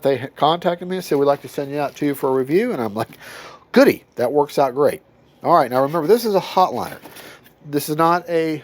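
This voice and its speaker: A man with a drawl, sounding nasal as he gets over a cold. He talks in a loose, folksy way, restarting his sentences and wandering off on side notes while he reviews a hobby plane from his home workshop. His voice sits in an ordinary middle pitch. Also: darkish tone. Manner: rambling, self-correcting, folksy. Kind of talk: casual unboxing review.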